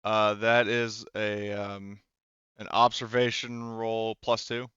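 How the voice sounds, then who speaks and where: A man running a tabletop role-playing game as game master, casual and halting as he thinks aloud. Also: fairly low pitch, little pitch movement, fairly bright tone, smooth, no echo. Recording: dull, lo-fi sound.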